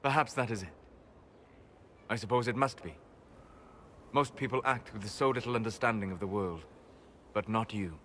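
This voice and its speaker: An actor playing a wise, melancholy elven mage in a video game, speaking in a measured, formal way. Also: male voice, lowish voice, a bit bright, a little hiss or hum, lightly acted. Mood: thoughtful, searching. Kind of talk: dramatic video game acting.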